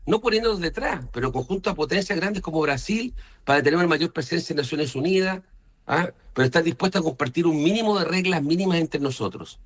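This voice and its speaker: A man from Chile with a Chilean accent, speaking as a senator in an interview and punctuating his points with short questioning tags. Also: a little high. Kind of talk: political interview, explaining opinions.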